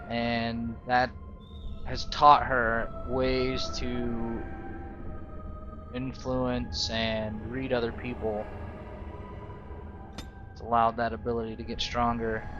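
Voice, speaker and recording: Male gamer, unhurried story-style narration with casual asides, dull lo-fi audio, loud music behind. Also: mid-range voice. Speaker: gamer narrating a role-play playthrough. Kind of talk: slow storytelling with casual out-of-character reactions. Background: game music.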